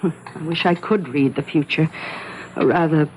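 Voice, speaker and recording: An actress playing a warm, chatty, fretful genteel elderly aunt in a 1970s radio drama with noisy broadcast sound. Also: female voice, deep voice, steady tone, moderate pace, lightly acted. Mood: worried, bewildered. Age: older. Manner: effusive, chatty.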